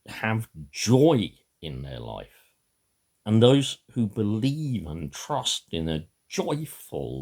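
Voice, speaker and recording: An older or middle-aged man talking to camera in a rambling, personal way, gravelly and husky, halting and then suddenly emphatic. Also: lowish voice, darkish tone. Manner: emphatic, halting, with dramatic swells and drops. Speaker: video maker giving a reading.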